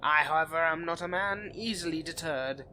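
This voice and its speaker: A man's voice: on the high side, normal speed, bright, forceful tone, a little hiss or hum. A man playing a suave, scheming vampire aristocrat in a dramatic roleplay addressed to the listener, with courtly, haughty, theatrical phrasing. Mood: scheming, seductive.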